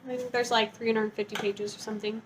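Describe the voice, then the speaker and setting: High-voiced woman chatting casually, enthusiastic. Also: little pitch movement, quick pace, noisy background. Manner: gushing, enthusiastic, informal. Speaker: book review vlogger.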